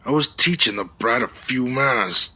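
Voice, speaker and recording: An actor playing a surly, gruff ruffian in a comedic old-time radio adventure. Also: male voice, medium pitch, animated, moderate pace, pushed and bright, no echo, heavily acted. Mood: defiant. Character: surly male gold-rush bully and ruffian.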